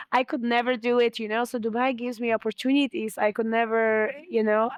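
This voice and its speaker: A woman's voice: a little high, level tone, moderate pace, clear, resonant tone, no echo. A young woman with a Polish accent, chatting as an interview guest, filling her talk with "you know" and speaking earnestly. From Poland. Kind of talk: casual interview chat.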